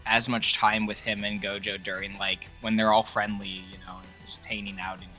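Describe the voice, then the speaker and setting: A man with a bright, forward voice talks through his opinions in a casual co-host style. His pitch sits in an average male range, and faint music plays behind him. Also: flat delivery, normal speed. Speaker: co-host of an anime character ranking.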